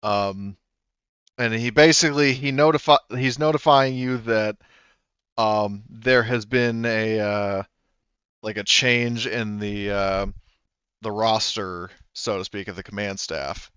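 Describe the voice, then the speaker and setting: Halting man running a tabletop game. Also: fairly low pitch, steady tone, a bit bright, smooth, no room sound, muffled recording. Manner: hesitant, many ums and restarts. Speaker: game master. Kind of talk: explaining rules and story in a tabletop game.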